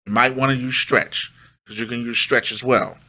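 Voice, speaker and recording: A man's voice: mid-range voice, steady tone, normal speed, low-quality recording. A middle-aged man giving a casual, step-by-step music production tutorial, emphatic and repeating his key phrases.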